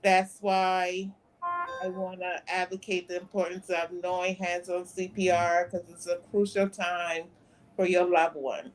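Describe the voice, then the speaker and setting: Flat-voiced woman in her fifties. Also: lowish voice, unhurried, low-quality recording. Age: 50s. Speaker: guest. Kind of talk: interview conversation.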